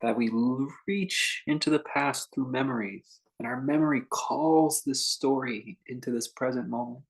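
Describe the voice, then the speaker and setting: A man guiding a meditation in an informal, conversational way, speaking in short phrases with unhurried pauses between them. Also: mid-range voice, low-quality recording. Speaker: meditation teacher leading a guided meditation. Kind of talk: unscripted guided meditation talk.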